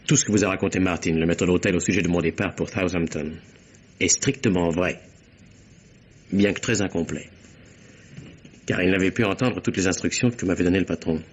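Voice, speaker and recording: A low-pitched man played by an actor speaks as an earnest private secretary in a vintage French radio mystery. He recounts with formal, precise care. The recording is dull, with faint background noise. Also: lightly acted. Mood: insistent, grave. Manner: measured, formal, precise storytelling. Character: earnest male secretary recounting a deadly affair.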